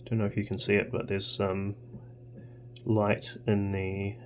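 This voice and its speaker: A man talks very slowly in a gravelly voice, narrating hands-on tinkering. He pauses and thinks aloud as he goes. A clear hum and noise sit behind him. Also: fairly low pitch, monotone. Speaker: host of electronics tinkering videos. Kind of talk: casual hands-on workbench narration.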